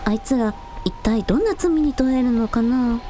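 A woman's voice: fairly high pitch, moderate pace, warm tone, a little hiss or hum, lightly acted. A woman playing a spirited, protective young idol in a radio drama, with a girlish, informal way of talking. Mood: thoughtful.

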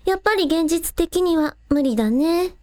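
A woman's voice: very high voice, expressive, moderate pace, darkish tone, slight background noise. A woman playing a plucky, practical young village woman in an amateur radio drama, talking casually and matter-of-factly. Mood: pragmatic, resigned.

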